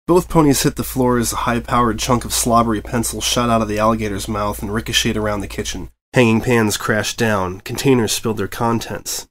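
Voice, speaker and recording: A man reading fan fiction as narrator, in an expressive scripted storytelling style. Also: lowish voice, brisk, few pauses.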